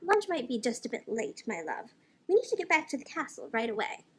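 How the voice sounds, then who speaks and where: A woman's voice: fairly high pitch, sing-song, fairly quick, a little hiss or hum, voice pushed up. A woman voicing an anxious but tender veiled governess in a storybook, with formal, courtly phrasing. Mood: gentle, reassuring. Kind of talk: audiobook character dialogue.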